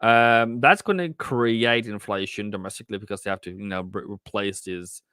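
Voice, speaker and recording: A man's voice: fairly low pitch, normal speed, pushed and bright, smooth, no echo, clean studio sound. Norwegian man musing over markets live. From Norway. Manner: thinking aloud, many restarts and fillers, reads chat. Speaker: trading livestream host. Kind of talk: casual livestream commentary.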